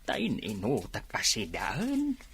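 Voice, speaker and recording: A man's voice: on the low side, wildly swooping pitch, takes it slowly, fairly bright tone, slight background noise, exaggerated voice. A man playing an elderly village customer and father in an Ilocano radio drama. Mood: grumbling. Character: slow-talking elderly village customer with a grown child.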